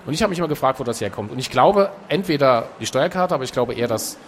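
A man talking casually as a guest in an interview, relaxed and chatty, with frequent filler sounds. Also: medium pitch, fast, a little hiss or hum. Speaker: author and guest.

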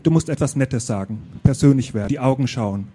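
A man reading aloud, voicing a pushy, eager know-it-all male friend with an excited, bossy manner. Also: mid-range voice, brisk, natural voice.

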